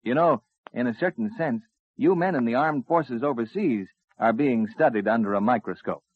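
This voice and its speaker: A man's voice: mid-range voice, normal speed, no echo. An American man reading scripted announcements in the style of a 1950s radio announcer. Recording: muffled 1950s radio recording, music.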